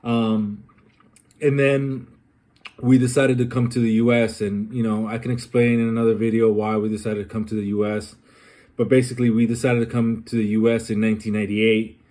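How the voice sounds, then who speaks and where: A young man from Colombia speaks his mind in a personal vlog. His voice sits a little low, and he thinks aloud, pausing often on ums and uhs as he works through his thoughts. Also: little pitch movement, mellow. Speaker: vlogger. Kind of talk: personal reflective monologue.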